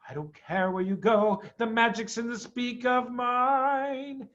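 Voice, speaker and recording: A man's voice: very high-pitched, fairly even intonation, slow, fairly bright tone, voice pushed up, exaggerated voice. A man voicing a big, hungry, boastful pelican in a storybook, with a theatrical singsong delivery. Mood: proud, singsong. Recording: dull, muffled sound.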